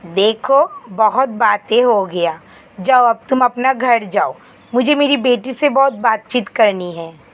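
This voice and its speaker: A woman acts out an old-fashioned Indian mother-in-law for an animated moral tale. Her voice sits fairly high and moves at a slow, drawn-out pace. She nags and scolds theatrically, demanding to be obeyed. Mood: smug, defensive. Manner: scolding, dramatic exclamations. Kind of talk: scripted animated moral story dialogue.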